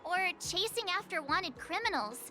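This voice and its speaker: Very high, bubbly young woman. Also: animated, normal speed. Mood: reflective, cheerful. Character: bubbly, chatty young woman traveller, playful and upbeat. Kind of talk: video game voice acting. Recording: game music behind the voice.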